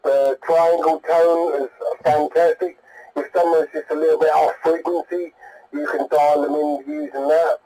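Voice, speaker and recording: A British man comes through on CB radio with a mellow, slightly high voice. He is a hobbyist chatting casually, blunt and colloquial, rambling from one point to the next. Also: little pitch movement, moderate pace, low-quality recording. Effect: heard over CB radio. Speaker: CB radio enthusiast calling in.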